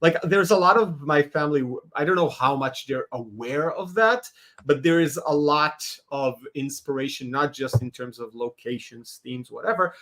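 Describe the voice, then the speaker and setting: Animated man, fairly high voice. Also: normal speed. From Israel. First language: Hebrew. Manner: animated, rambling, laughs often. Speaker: guest artist. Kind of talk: casual podcast interview.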